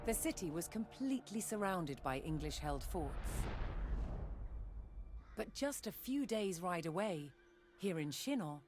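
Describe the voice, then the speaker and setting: A woman reading scripted historical documentary narration in a measured, dramatic storytelling manner with deliberate pauses. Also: fairly low pitch, very animated, a little mellow. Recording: studio narration over background music. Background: music.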